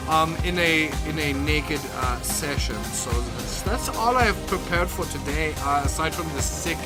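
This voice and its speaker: A man's voice: on the high side, a little slow, over loud music, natural voice. A man voicing a lewdly earnest German DJ with stilted, formal phrasing and a German accent in an improvised comedy monologue.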